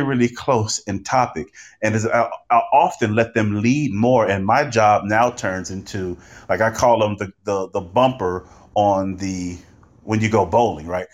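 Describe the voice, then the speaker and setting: A man speaking as a panelist, offering conversational advice in a measured, encouraging way. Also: lowish voice. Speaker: podcast interviewer, panelist.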